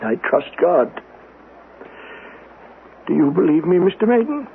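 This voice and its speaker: An actor playing a proud, devout, haunted old great-uncle in a radio mystery, raspy and emphatic in old-fashioned diction. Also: male voice, high-pitched, big pitch swings, a little slow, a little hiss or hum, full character voice. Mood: haunted, insistent. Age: older. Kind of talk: radio mystery drama acting. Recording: thin, lo-fi old radio broadcast.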